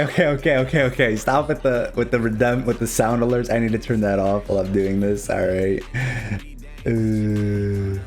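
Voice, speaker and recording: A man's voice: fairly low pitch, a little mellow. A young man with a Chicago accent, casually explaining game replays as a streamer and coach, speaking directly to the player. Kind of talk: live-streamed replay analysis commentary.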